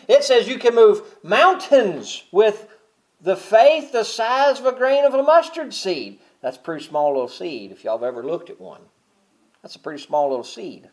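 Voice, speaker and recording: A middle-aged man preaching a sermon, talking casually and then swelling loud and high on key points. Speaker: pastor.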